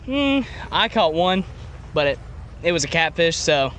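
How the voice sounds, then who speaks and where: A man's voice: a little high, big pitch swings, a little slow, fairly bright tone, a little hiss or hum. Teenage boy, casual and hesitant. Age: teens. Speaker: high school angler contestant. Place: outdoors at a lake.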